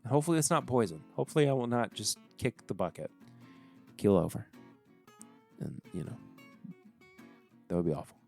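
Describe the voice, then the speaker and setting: Chatty man with a lively voice. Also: mid-range voice, moderate pace, gravelly, pauses often, faint music behind. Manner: rambling, chatty, joking asides. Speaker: podcast host. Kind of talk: casual solo podcast outro.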